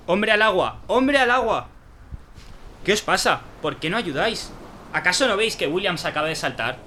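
A man's voice: high-pitched, lively intonation, normal speed, bright, forceful tone, slight background noise. An actor playing a commanding ship captain in an audio drama, urgent and authoritative as he calls out to his crew. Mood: alarmed, desperate. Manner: urgent, authoritative, calling out orders.

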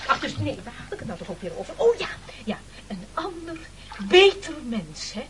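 An anxious, self-important castaway gentleman acted by a woman in a radio play. The voice is high and swoops widely, halting and stammering, then turning grandly declamatory. A faint noise sits behind it. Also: sing-song. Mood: flustered, earnest. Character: anxious, pompous castaway gentleman resigned to being eaten. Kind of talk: theatrical radio play acting.

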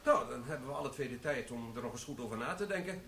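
An actor playing a formal, coolly dry security manager in a radio play. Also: male voice, medium pitch, lively intonation, quick pace, vocal fry, a bit bright, roomy sound. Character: stern middle-aged security manager, dry and cool.

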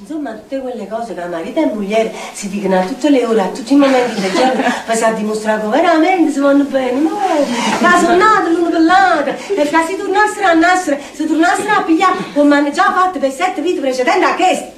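High-voiced Neapolitan woman telling a tale on stage. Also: a little fast, few pauses, crowd noise. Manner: expressive, incantatory repetition of phrases. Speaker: stage actress performing a theatre monologue. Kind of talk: theatrical dramatic storytelling monologue in dialect. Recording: echoey distant stage recording with noise.

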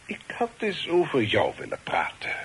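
A man acting in a Dutch radio play as a worldly, authoritative middle-aged father, speaking in a measured, formal way. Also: on the high side, wildly swooping pitch, fairly bright tone, slight background noise, full character voice, poor audio. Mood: businesslike, firm. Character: authoritative, worldly father advising his grown son. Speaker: radio drama actor.

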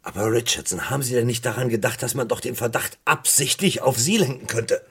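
Very husky, probing police inspector. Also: male voice, medium pitch, fairly quick. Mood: incredulous. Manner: questioning, echoes answers, swings from muttering to exclaiming. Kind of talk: 1970s German radio crime play acting.

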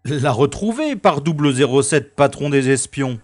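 An actor playing a wry, world-weary special agent in a science-fiction audio drama, with deliberate, slightly pompous phrasing. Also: male voice, mid-range voice, expressive, close to an everyday voice. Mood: wry, confident.

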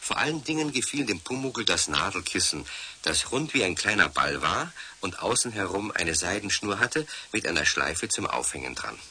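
A male narrator tells a children's story in a deep, very bright and forceful voice. His delivery is animated, with wide swings of intonation. There is a faint hiss of an old radio recording. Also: normal speed, slightly rough. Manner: animated, addresses the listeners directly.

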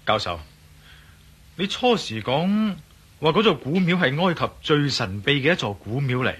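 A man's voice: on the high side, very animated, moderate pace, fairly bright tone, breathy edge, heavily acted. A man playing a confident, persuasive adventurer and investigator in a radio drama, earnest and insistent. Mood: curious. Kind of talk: Cantonese radio drama acting.

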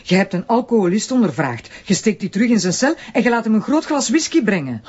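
A husky Flemish woman as an irritable, sharp-tongued wife and prosecutor. She talks fast with lively swings of pitch, in natural TV drama style, slightly muffled. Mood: exasperated, arguing. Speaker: actor.

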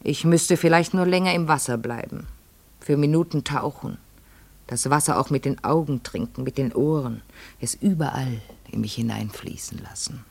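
Radio play: obsessive, vain madame, raspy creaking voice, theatrical intensity, addressing her servant. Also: rumbling low, normal speed, darkish tone, exaggerated voice. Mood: urgent. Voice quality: husky, breathy, creaky. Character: vain, obsessive lady fearful of ageing. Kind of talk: literary German radio play monologue.

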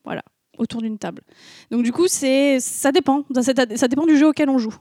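A woman's voice: high voice, a little fast, dark tone, no room sound, studio quality. A young woman on a panel, talking in a frank and casual way about her gaming. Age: young adult. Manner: frank, casual, laughs at herself. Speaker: panel guest, gamer.

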